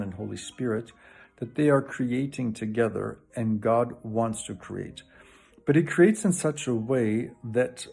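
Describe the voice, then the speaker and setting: Husky-voiced Canadian man teaching Scripture. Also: medium pitch. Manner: enthusiastic, warm-hearted, with frequent restarts. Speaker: professor and lay preacher. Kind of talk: recorded greeting and Bible teaching.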